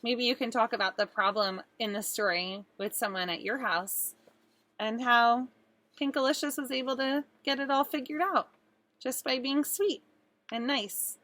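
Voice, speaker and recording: A woman's voice: on the high side, moderate pace, fairly bright tone, no echo. A woman teacher reading a children's storybook aloud expressively, speaking directly to young listeners.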